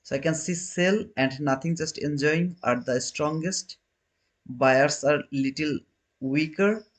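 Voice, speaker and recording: A man's voice: on the high side, slow pace. Chatty man hosting a trading livestream. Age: 30s. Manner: chatty, reads and answers viewer chat while trading; non-native phrasing, frequent restarts. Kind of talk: casual live-stream commentary.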